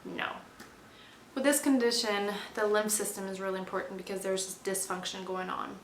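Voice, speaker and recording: Bright-voiced young woman vlogger, echoey room. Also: medium pitch, slight background noise. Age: 20s. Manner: earnest, explanatory, talks straight to viewers. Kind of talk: personal explainer video.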